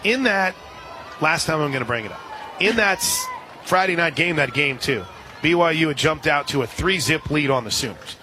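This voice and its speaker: Higher-voiced man calling softball over crowd noise. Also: lively intonation, moderate pace. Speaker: radio play-by-play softball announcer. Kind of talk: live play-by-play sports commentary. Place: outdoor softball ballpark broadcast position. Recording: crowd noise, noisy outdoor ballpark broadcast. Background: ballpark crowd and ambient noise.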